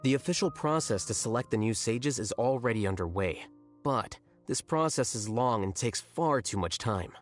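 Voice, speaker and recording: An actor playing an aloof young male scholar who talks in a calm, deadpan, cutting way. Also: medium pitch, normal speed, soft background music, close to an everyday voice. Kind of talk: video game dialogue.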